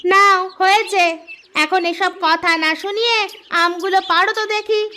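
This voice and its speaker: A woman's voice: very high-pitched, steady tone, unhurried, sharp tone, a little hiss or hum, heavily acted. A woman voicing a bossy, spiteful village stepmother in a cartoon story, scolding as she talks.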